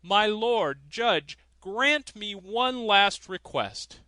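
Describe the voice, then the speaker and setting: A man's voice: sits high, animated, extremely slow, pushed and bright, no echo, voice pushed up, heavily acted. A man voicing a merry, cunning countryman in a fairy tale, with a hearty, bold manner. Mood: earnest request.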